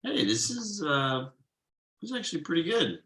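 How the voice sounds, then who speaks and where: A man playing an exasperated, uptight workaholic father with halting, sardonic delivery in a comedy table read. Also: fairly high pitch, sing-song, a bit bright. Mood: grudgingly impressed.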